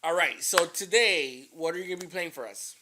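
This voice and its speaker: A man hosting a casual interview, relaxed and encouraging, with lots of fillers. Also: fairly high pitch, a little fast, noisy background. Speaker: podcast host.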